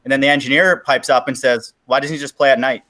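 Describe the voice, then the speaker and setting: A man's voice: medium pitch, fairly even intonation, fast, pushed and bright. A man in a casual online chat, blunt and joking.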